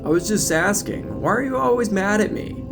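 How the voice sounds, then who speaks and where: A man's voice: sits high, expressive, normal speed, creaky, music in the background, pitched above the performer's own voice. A man voicing a dim, needy teenage boy, an eager and easily wounded sidekick in an audio drama. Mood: eager, hurt.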